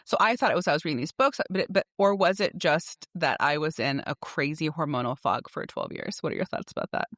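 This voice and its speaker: A woman's voice: low-pitched, fairly quick, hardly any pauses, a bit bright, no echo. A woman in her forties co-hosting a podcast in a casual, candid and emphatic chat. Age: 40s. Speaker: podcast co-host.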